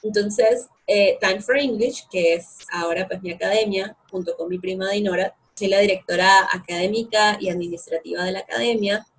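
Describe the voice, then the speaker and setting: A woman's voice: mid-range voice, steady tone, moderate pace, few pauses, slight background noise, rough recording. A young woman, talking about her academy in an interview, enthusiastic and full of fillers. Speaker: guest, co-founder of an online English academy. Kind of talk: conversational interview.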